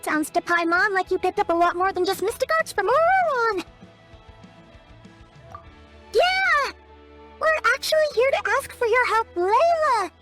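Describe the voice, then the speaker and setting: A woman voicing a tiny, childlike, perky and blunt fairy companion in a video game, with a squeaky voice and exclamatory delivery. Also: very animated, moderate pace, a bit bright, full character voice. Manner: refers to self in third person, exclamatory. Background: music.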